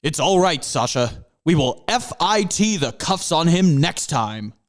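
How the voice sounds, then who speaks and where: A heroic panther scientist, played by a man, who lectures his students with proud good cheer. His voice rises and falls in a lively way and sits a little high. It fits an amateur comedy audio drama. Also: a little slow. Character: cheerful male panther mascot scientist, a hero.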